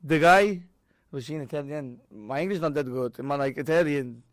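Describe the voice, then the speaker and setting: A man's voice: a little high, normal speed, dry recording, clean studio sound. A Maltese man with a Maltese accent and non-native English, casually telling stories and acting out dialogue and impacts. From Malta. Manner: acts out dialogue and impact sounds. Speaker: boxer and boxing trainer, interview guest. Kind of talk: casual animated storytelling.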